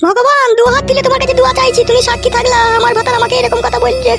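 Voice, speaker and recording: A jealous village wife in a comic cartoon. Her voice is extremely high and squeaky, rattling out sarcastic nagging at very high speed. Every phrase is shrill and quarrelsome. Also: level tone, racing, creaky, loud music behind the voice. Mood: bitter complaint.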